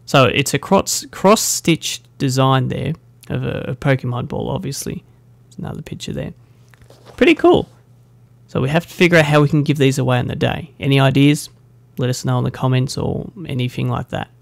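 A young man talks casually straight to the camera, rambling and poking fun at himself. His voice has a gravelly edge. The sound is close and dry, with no room echo. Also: medium pitch, moderate pace. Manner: chatty and self-deprecating, with fillers. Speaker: meetup organiser. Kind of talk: casual vlog announcement.

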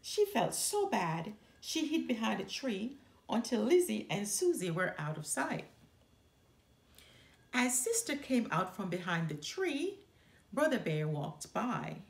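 A middle-aged woman reading a children's story aloud with expressive narration in a living room. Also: on the high side, slow, gravelly. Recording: roomy indoor echo.

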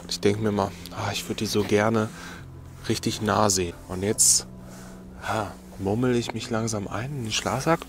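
Young guy whispering low in the dark outside, soft night sounds around. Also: fairly even intonation, moderate pace, noisy background. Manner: hushed whisper, rambling. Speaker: outdoor vlogger. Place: under a tarp outdoors at night.

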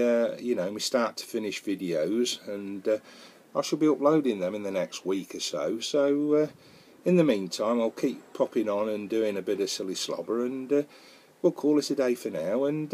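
A man's voice: mid-range voice, animated, moderate pace, darkish tone. An older man in his sixties with a Northern English accent, chatting casually in a jokey, rambling way with fillers and mild swearing, filmed on an action camera in his wooden garden shed. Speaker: home brewing vlogger. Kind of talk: casual chatty product review. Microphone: action camera close up.

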